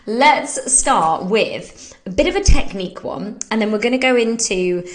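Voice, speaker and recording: A woman's voice: mid-range voice, animated, a little slow, rough recording. An English woman hosting a fitness Q&A, chatty and upbeat, who laughs often, sounding a bit bunged up from hay fever while she talks through exercise technique. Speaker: fitness coach and podcast host. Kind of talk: casual live Q&A, talking through exercise technique.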